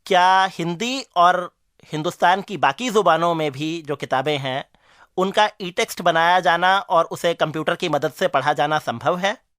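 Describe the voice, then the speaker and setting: A man asking formal, clearly framed questions as a radio interviewer. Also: fairly high pitch, normal speed, a bit bright, no echo, studio quality. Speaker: interviewer, show correspondent.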